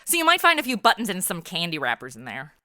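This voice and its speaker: Snarky american superhero gal, bright n animated, close dry sound, audio comedy. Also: female voice, fairly low pitch, quick pace, no echo, full character voice. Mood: sarcastic. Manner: sarcastic, breezy. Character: wisecracking, unimpressed modern superheroine. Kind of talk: comedic audio drama acting.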